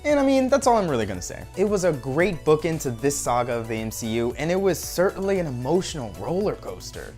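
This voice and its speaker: A man in his thirties giving an enthusiastic, emphatic movie review to camera as a video reviewer. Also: on the high side. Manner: animated, emphatic. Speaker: film reviewer. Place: furnished room at home. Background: background music.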